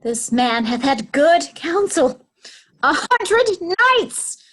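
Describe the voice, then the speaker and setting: Scheming royal daughter, imperious and suspicious, high-pitched, very measured classical delivery female actor. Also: very animated, a bit bright, full character voice, low-quality recording. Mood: bitter sarcasm. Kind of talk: Shakespearean reader's theatre acting.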